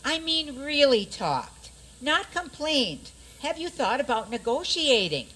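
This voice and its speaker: A sensible storybook princess, played by a woman, mildly dry, with a high and lively voice. She is heard in an amateur radio play with faint background noise. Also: slow, exaggerated voice. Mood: reasonable. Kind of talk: community radio theater acting.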